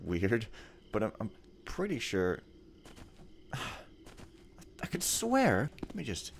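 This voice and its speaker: A man's voice: fairly low pitch, huge pitch swings, slow, vocal fry, slight background noise. A man hosting a let's play, chatting casually over gameplay in a rambling, joking, laid-back way.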